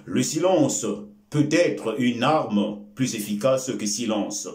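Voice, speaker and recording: A man in his forties whose first language is Bembe, speaking slowly and emphatically to the camera with many filler sounds and repeated words as he gives a life lesson. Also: mid-range voice, lively intonation, darkish tone. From Congo. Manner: gestures with both hands while speaking. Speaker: life-lesson video host. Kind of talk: motivational talk to camera. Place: small indoor room before a curtain. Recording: echoey room.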